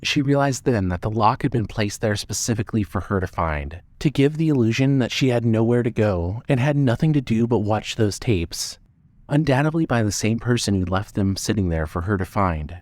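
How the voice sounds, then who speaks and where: A man's voice: on the low side, expressive, normal speed, darkish tone, dry recording. A man narrating a scripted dramatic story as an audiobook-style narrator.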